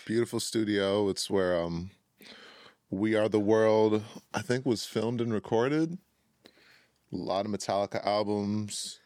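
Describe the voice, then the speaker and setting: A young man from Minnesota with a deep voice, chatting as a guest in a relaxed, scatterbrained way and laughing often. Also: a little slow, no echo, studio quality. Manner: laid-back, self-described scatterbrained, laughs often. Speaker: guest, music artist and producer. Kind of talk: casual podcast chat.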